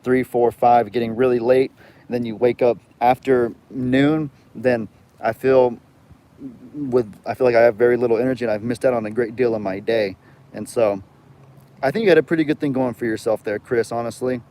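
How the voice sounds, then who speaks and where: Young man, light Southern US drawl, mid-pitched, chatty livestream host who rambles and reads viewer comments aloud. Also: mellow. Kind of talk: casual live chat.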